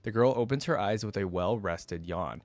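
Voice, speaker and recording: Low-voiced young man, casual and musing. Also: moderate pace, dry recording. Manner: informal, musing. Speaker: reaction video host. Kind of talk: casual reaction commentary and story read-aloud.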